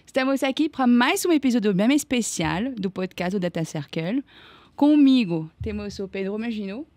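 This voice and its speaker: A woman's voice: medium pitch, lively intonation, moderate pace, a little mellow, dry recording. A woman hosting a business podcast in casual conversation, welcoming and introducing her guests with fillers along the way. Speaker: podcast host.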